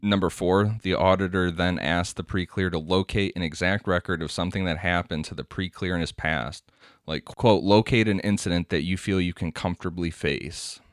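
A man's voice: sits low, fairly even intonation, normal speed, dry recording, studio quality. A man co-hosting a casual podcast, explaining a topic from notes and laughing often. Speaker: podcast co-host. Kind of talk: casual podcast chat, reading quotes aloud.

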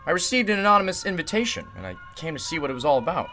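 A man's voice: on the high side, big pitch swings, rapid-fire, pushed and bright, faint music behind. A man playing an affable, courteous district attorney in a radio drama, chatty and curious. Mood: curious, friendly. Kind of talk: golden-age radio drama acting.

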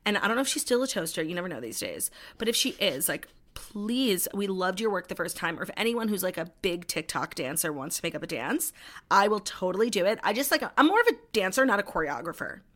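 A woman's voice: mid-range voice, very animated, quick pace, a bit bright. A woman co-hosting a chatty podcast, blunt and teasing, with casual swearing. Her voice swoops up and down with a lot of life in it. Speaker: podcast co-host. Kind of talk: casual chat.

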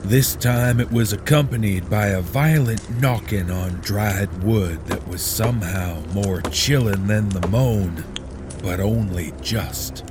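A man narrating a horror story in a measured, grave, ominous delivery. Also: on the low side, noisy background. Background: background music.

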